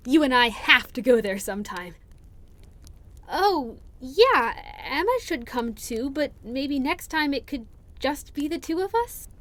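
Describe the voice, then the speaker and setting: A woman's voice: high voice, big pitch swings, unhurried, a bit bright, heavily acted. A woman playing a shy, anxious, affectionate young friend in an audio roleplay, speaking in a hesitant, rambling, earnest way. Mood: nervous, sheepish. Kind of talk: interactive audio roleplay acting.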